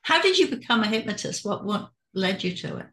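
A woman's voice: medium pitch, very animated, brisk, fairly bright tone. Woman podcast host, friendly questions, roomy echo. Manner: friendly questioner, laughs often. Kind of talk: casual interview chat.

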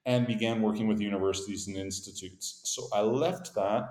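A middle-aged man with a Canadian accent, a company founder telling his career story in measured, articulate sentences. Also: lowish voice, steady tone, a little mellow. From grew up in Montreal, born in Boston. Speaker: guest, company founder and CEO. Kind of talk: interview conversation. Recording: echoey room.

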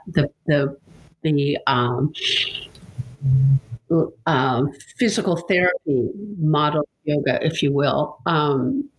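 Woman, interviewer, low-ish voice, slow pace, reflective, halting, repeats words. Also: rough recording. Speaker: interviewer and yoga writer. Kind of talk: casual reflective conversation.

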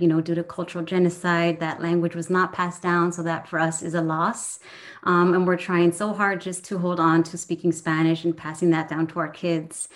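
A woman from Southern California, a professor and guest, talking casually and earnestly with frequent ums. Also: lowish voice. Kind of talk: casual conversation.

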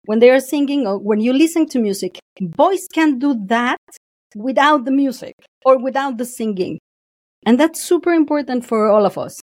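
A woman's voice: high voice, normal speed, no room sound, clean studio sound. A woman guest in a casual podcast conversation, talking expressively and laughing often. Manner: expressive, laughs often, acts out quoted voices.

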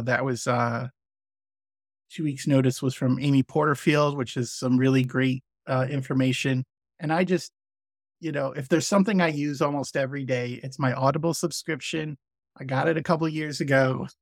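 A man's voice: medium pitch, no echo, studio quality. A man hosting a podcast interview, chatting in a friendly way and often restarting his sentences. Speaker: podcast host.

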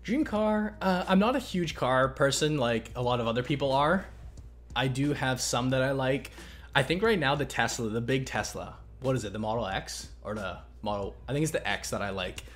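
A man hosting a casual question-and-answer chat on investing, talking in a relaxed, rambling way with many fillers and restarts. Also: mid-range voice, normal speed. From Canada. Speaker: investing channel host.

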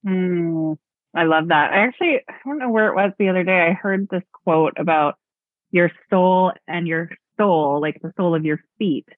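A woman's voice: on the low side, moderate pace, no room sound. A woman hosting a podcast, enthusiastic and gushing, often laughing. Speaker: podcast host and book publisher. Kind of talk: casual podcast interview. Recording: tinny phone-like call audio.

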